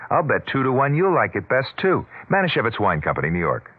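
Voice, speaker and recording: A man's voice: mid-range voice, wildly swooping pitch, brisk, no echo, narrow, tinny audio. A man announcing a scripted radio commercial in 1970s style. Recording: thin dry old radio broadcast.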